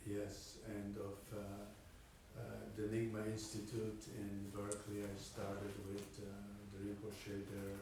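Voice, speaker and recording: A man whose first language is Hungarian asks a hesitant question, pausing often with fillers. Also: on the low side, monotone, dark tone. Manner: hesitant, many pauses and fillers. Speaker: student asking a question. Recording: distant, very echoey with faint noise.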